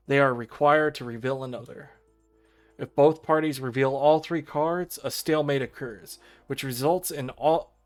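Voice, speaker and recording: Man reading a visual novel aloud. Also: mid-range voice. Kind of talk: dramatic read-aloud narration of game text. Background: video game music.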